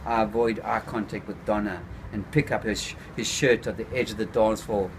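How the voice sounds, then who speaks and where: A man reading his own first-person novel aloud, laid-back and unhurried, with small slips. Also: on the low side, steady tone, noisy background.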